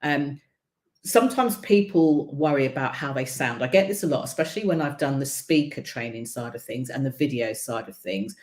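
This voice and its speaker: A woman coach with a deep voice and no marked accent, talking casually in a broadcast and filling her speech with ums and you-knows. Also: moderate pace, a little mellow, echoey room. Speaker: visibility coach hosting a broadcast. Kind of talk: casual spoken coaching talk.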